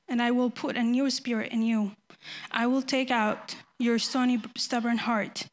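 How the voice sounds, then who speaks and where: Nervous young woman from Ethiopia. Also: on the high side. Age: young adult. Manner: nervous, halting, with restarts. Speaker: baptism candidate giving testimony. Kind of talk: personal faith testimony.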